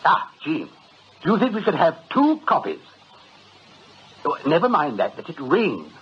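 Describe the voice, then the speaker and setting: A man's voice: fairly high pitch, huge pitch swings, a little slow. An actor playing a jovial employment agency boss, hearty and effusive, chuckling as he talks. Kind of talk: radio drama acting. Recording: dull, hissy radio drama recording.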